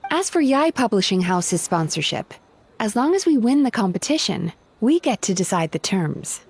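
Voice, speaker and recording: A woman's voice: mid-range voice, very animated, moderate pace, slightly rough. An actress playing an easygoing, thoughtful young woman therapist in an anime game, with a mild, modest manner. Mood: reasoning.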